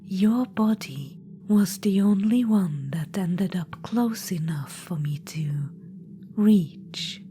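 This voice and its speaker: Scheming undead witch-queen, whispery and slow, low dark tone, patronizing and purring, voiced by a woman. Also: expressive. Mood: whispered, scheming. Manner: purring, patronizing, calls listener darling. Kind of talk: ASMR audio roleplay.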